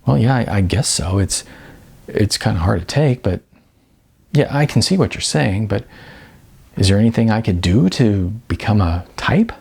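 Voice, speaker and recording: Guy reading a timid hurt woman, hesitant, stammering, gravelly voice, audiobook dialogue. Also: lowish voice, lively intonation, moderate pace, a little mellow, exaggerated voice. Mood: deflated, hesitant. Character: timid, hurt, hopeful aspiring actress.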